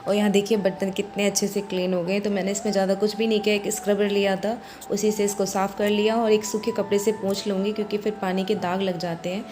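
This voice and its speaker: A woman with a hoarse voice, chatting through her home chores as a vlog voice-over and explaining each step. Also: mid-range voice, flat delivery, quick pace, music in the background. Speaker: vlogger.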